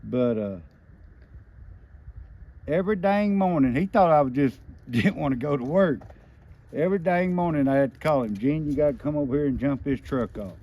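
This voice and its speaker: A man's voice: on the high side, expressive, normal speed, dull audio. A middle-aged man with a Southern US accent telling an anecdote casually in a folksy, rambling, plainspoken way. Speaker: storyteller on a homesteading family channel. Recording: noisy ambient background.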